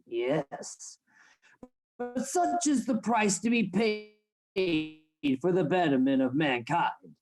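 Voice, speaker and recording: A man's voice: high-pitched, very animated, takes it slowly, a little mellow, exaggerated voice. Man playing a stern lecturer with swooping intonation. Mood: grave, secretive. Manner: formal, instructing. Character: stern, secretive male anatomy lecturer, grave and commanding. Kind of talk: radio play acting.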